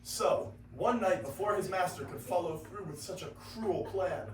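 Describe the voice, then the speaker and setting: A man's voice: high voice, moderate pace, lightly acted. Teen boy as storybook narrator, roomy sound. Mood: storytelling. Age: teenager. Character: storybook narrator of an animal folk tale. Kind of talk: scripted reader's theater narration. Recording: roomy, distant classroom recording, faint noise.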